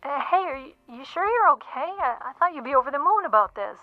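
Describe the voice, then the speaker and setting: An actress playing a brisk, eager literary agent, chatty and pushy over a telephone in an audio drama. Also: female voice, high-pitched, very animated, dry recording, soft background music. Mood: concerned. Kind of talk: audio drama phone conversation. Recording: dull, band-limited phone call sound.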